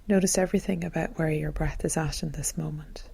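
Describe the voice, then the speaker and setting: A woman leading a guided meditation in a gentle, calm, soothing manner, as a teacher would. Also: deep voice, brisk, vocal fry, slightly rough.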